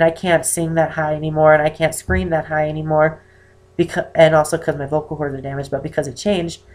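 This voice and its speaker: Young guy, 18, voice really high for a man, kinda strained from damaged cords, lots of ums, chatting to camera in his room. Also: a little mellow. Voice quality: unusually high-pitched voice for a man. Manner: rambling, with many "um"s and self-corrections. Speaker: vlogger. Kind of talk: casual personal talk to camera. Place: indoor room with poor lighting.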